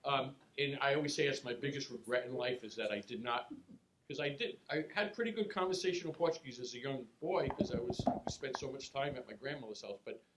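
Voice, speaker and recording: A middle-aged man talks on a panel. He is relaxed and halting, with plenty of ums and restarts, and his voice sits at an ordinary pitch. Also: background noise. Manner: relaxed, halting with many fillers. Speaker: state senator. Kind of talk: panel remarks.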